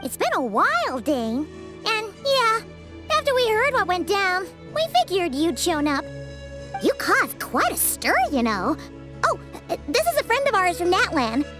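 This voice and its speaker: Very high-pitched childlike fairy sidekick. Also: female voice, unhurried, music in the background, heavily acted. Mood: cheerful, casual. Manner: chatty, expressive, refers to self by name. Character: small chirpy female fairy companion, childlike and cheeky. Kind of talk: video game voice acting.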